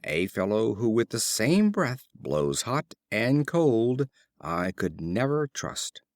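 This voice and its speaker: A man's voice: lowish voice, very animated, takes it slowly, no echo, studio quality. Man reading as a stern, distrustful satyr. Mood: indignant.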